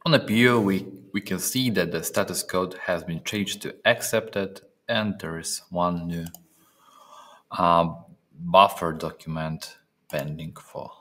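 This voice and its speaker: A man narrating a step-by-step software training demonstration, speaking haltingly with pauses in mid-phrase. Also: sits low. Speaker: software trainer presenting a tutorial.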